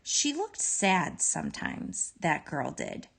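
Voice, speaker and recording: A woman reading a children's story aloud in an expressive, deliberate way with pauses between phrases. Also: sits low, gravelly, breathy edge. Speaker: storybook reader.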